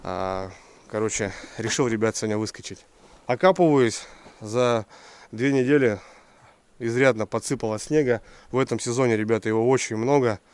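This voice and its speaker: A man recovering from a cold, talking casually and haltingly to his viewers in an outdoor vlog about gear. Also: mid-range voice, darkish tone, clear, resonant tone. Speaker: fishing vlogger presenting a gear review. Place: outdoors in deep snow by a garage.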